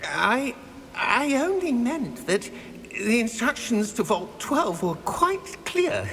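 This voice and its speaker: A woman's voice: fairly high pitch, very animated, slow, fairly bright tone, slight background noise, exaggerated voice. A woman voicing an anxious, dutiful goblin bank keeper in a video game, speaking formally, deferentially and with nervous stammers. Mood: nervous insistence. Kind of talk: cinematic video game acting. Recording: long echo of a hall, music behind.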